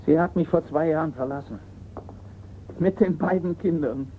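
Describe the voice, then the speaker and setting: An actor playing a resigned art forger on the run in a radio crime drama, terse and subdued. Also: male voice, a little high, normal speed, lightly acted. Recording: tinny band-limited radio recording with hiss.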